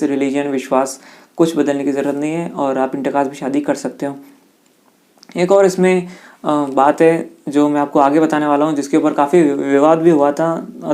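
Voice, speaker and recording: Indian man teaching, in a mellow voice. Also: on the high side. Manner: conversational, addresses listeners directly, reads English passages haltingly. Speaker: exam-prep teacher explaining law. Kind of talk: informal explainer lesson, alternating reading aloud with explanation.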